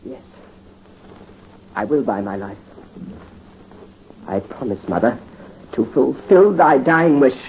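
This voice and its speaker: A man's voice: mid-range voice, lively intonation, slow pace, long pauses. An actor playing a fervent young French nobleman devoted to vengeance and to his father. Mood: frenzied. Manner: fervent, swings from hushed vows to frenzied cries. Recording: dull, faintly hissy 1930s radio recording.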